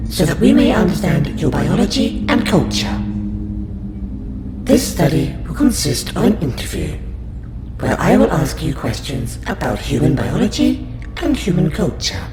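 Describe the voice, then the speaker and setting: A man's voice: on the high side, wildly swooping pitch. A man voicing a polite, patient alien researcher in an audio roleplay, speaking formally and precisely to a human. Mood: formal, courteous. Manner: formal, precise, courteous, measured. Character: polite, patient male alien scientist abductor interviewing a human. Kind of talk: audio roleplay addressed to the listener. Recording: roomy echo, loud background music bed. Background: music.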